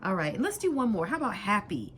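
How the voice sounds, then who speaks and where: A woman's voice: medium pitch, very animated, fast, a bit bright. Woman, teacher, very varied intonation, encouraging, emphatic key words, questioning a student, faint background noise. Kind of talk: spoken lesson, questioning a student.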